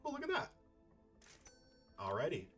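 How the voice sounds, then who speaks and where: A man's voice: a little high, huge pitch swings, measured pace, fairly bright tone, faint music behind. A man giving casual gameplay commentary as a playthrough host, rambling and thinking aloud as he goes. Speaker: video game playthrough host.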